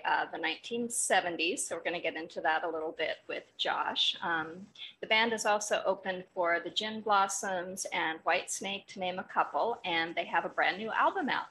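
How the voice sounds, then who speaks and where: A woman's voice: lowish voice, bright, forceful tone, low-quality recording. Woman hosting over background noise. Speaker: host. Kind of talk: conversational interview hosting.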